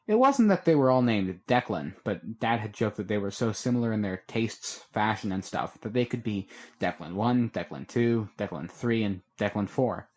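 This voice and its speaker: A man reading a fan-made audiobook chapter in a steady storytelling way. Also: lowish voice, normal speed. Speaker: audiobook narrator.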